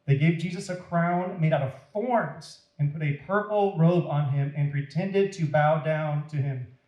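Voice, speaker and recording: A man reading a story aloud to children in a deliberate, dramatic, expressive way. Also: on the high side, muffled recording. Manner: deliberate, dramatic, addressing young listeners. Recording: roomy echo.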